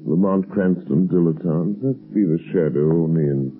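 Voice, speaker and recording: A man's voice: deep voice, moderate pace, faint music behind, lightly acted. An actor playing a suave, wealthy man-about-town who secretly fights crime, in a 1930s radio drama. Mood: suave, relaxed. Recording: muffled, narrow-band 1930s radio recording.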